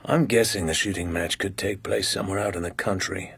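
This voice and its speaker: An actor playing a cool British secret agent undercover, with a husky English voice, in a radio drama. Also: male voice, low voice, fairly even intonation, fairly quick, a bit bright, no room sound, full character voice. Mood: grim, hushed.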